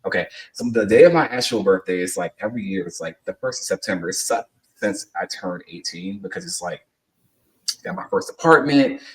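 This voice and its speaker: Low-voiced man in his thirties, rambling. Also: lively intonation, fairly quick, far from the mic, poor audio. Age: 30s. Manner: rambling, filler-heavy storytelling. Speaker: sports podcast co-host. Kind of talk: casual podcast chat.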